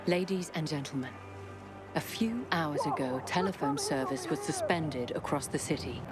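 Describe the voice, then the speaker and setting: Solemn female news anchor, lowish voice, measured emphasis, game audio log, loud music underneath. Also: expressive. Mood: grave. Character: grave, principled female radio news anchor in a collapsing city. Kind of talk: scripted radio news bulletin.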